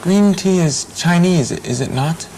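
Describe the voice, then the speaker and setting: Woman with a really low voice reading a customer part, hesitant, lots of mm. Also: animated, a little slow, mellow, a little hiss or hum. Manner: hesitant, humming before answers. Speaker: dialogue reader playing a customer. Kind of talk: scripted practice dialogue.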